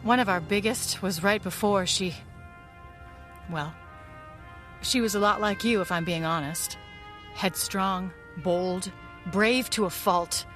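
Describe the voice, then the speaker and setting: A woman's voice: mid-range voice, slow pace, pauses often, fairly bright tone. A woman acting a wistful, guarded veteran ally of a vigilante in a pulp audio drama, speaking sincerely and reflectively. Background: music.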